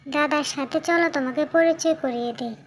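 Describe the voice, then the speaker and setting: A woman's voice: sits high, moderate pace, close to an everyday voice. A woman voicing a wistful, eager little village girl in a children's cartoon. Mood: cheerful, eager.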